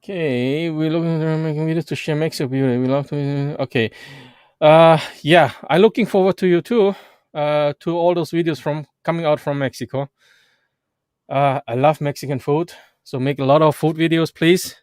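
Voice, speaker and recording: A man whose first language is German, hosting a casual livestream chat with halting, animated talk. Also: on the high side, clean tone, no echo, studio quality. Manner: halting, restarts and fillers, animated. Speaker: vlog host. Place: Edmonton, Canada.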